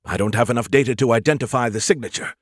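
A man voicing an excitable, arrogant artificial intelligence in an audiobook, flippant and quick to insult. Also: mid-range voice, husky, dry recording, clean studio sound, lightly acted. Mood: baffled, snarky.

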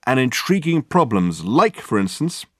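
A man voicing a pompous, excitable male detective in an audiobook, with grandiloquent, emphatic and self-satisfied delivery. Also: medium pitch, moderate pace, no room sound, studio quality, voice pushed up. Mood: triumphant, excited. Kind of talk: acted audiobook dialogue.

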